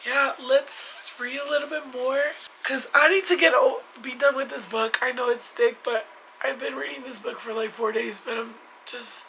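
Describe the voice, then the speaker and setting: A young woman talks in a loose, rambling way, full of fillers and restarts. Her voice is hoarse and stuffy from a cold, but its tone stays bright. A soft hiss of background noise sits under it. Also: high-pitched, fairly even intonation, moderate pace, rough recording. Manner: chatty, rambling with many likes and restarts. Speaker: book vlogger. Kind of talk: casual chat. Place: at home in bed.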